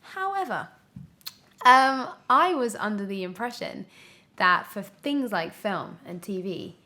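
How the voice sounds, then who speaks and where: A woman's voice: medium pitch, expressive, slow, pushed and bright. A British woman in her twenties giving a casual podcast interview as a young actor, emphatic and often breaking off to restart her thought. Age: 20s.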